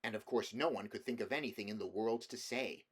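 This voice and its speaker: A man's voice: on the low side, fairly quick, breathy edge. A man reading a children's fantasy novel aloud as scripted storybook narration. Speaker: amateur audiobook reader.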